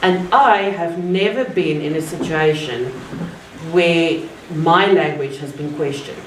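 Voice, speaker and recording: A woman from South Africa with an Afrikaans accent, giving an earnest, measured public talk with deliberate pauses. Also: low voice. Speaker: guest speaker. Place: art gallery. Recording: roomy, distant mic, faint ambient noise.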